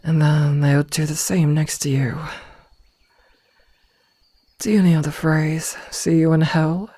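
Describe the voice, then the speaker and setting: A woman playing a possessive, menacingly loving female werewolf in an ASMR roleplay, speaking softly and coldly, often slipping into a whisper. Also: low-pitched, level tone, slightly rough, no echo, full character voice. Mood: threatening. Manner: cold, callous, deliberate word delivery. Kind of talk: ASMR roleplay, slow intimate delivery.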